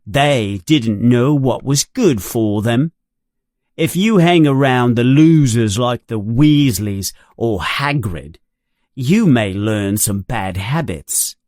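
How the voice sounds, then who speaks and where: Guy reading as a snotty mean schoolboy bully, slow and menacing, audiobook character. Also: medium pitch, expressive, darkish tone, dry recording, studio quality. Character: sneering, arrogant schoolboy bully.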